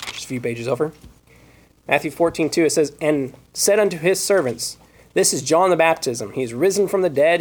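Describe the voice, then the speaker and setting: Slightly high-voiced man preaching scripture. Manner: reads scripture aloud, rhetorical questions. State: losing his voice. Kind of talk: Bible study sermon.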